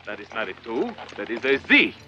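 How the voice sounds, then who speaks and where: Cocky masked sword hero, bright swooping voice, super theatrical, muffled movie sound w/ music. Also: male voice, mid-range voice, sing-song, brisk. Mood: swaggering. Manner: theatrical, self-assured, swaggering. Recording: dull film soundtrack with music.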